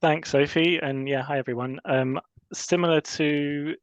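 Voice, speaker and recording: Male panelist, monotone, muffled and dry call recording. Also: on the high side, measured pace, dry recording. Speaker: panelist, charity engagement lead. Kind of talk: self-introduction on a panel. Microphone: video call. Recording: dull dry video-call audio.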